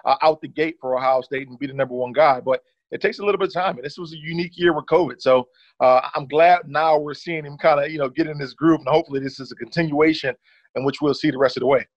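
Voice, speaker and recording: A man in his thirties with a slightly higher voice breaks down football film in a relaxed, conversational way. His audio is dry and a little muffled, like a video call. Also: quick pace, no room sound. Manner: relaxed, leans on "certainly" and "uh". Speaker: former running back, analyst guest.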